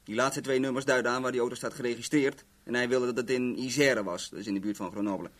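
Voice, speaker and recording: A man's voice: mid-range voice, fairly even intonation, quick pace, fairly bright tone, natural voice. Cocky, chatty male actor as garage owner. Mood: frank, offhand. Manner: chatty, rambling, self-assured. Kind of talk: Dutch 1970s radio play dialogue.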